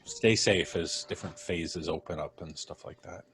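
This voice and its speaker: A man's voice: low-pitched, moderate pace, creaky, fairly bright tone. A man hosting a live stream with casual banter and jokey, playfully formal introductions. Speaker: host of a podcast live stream.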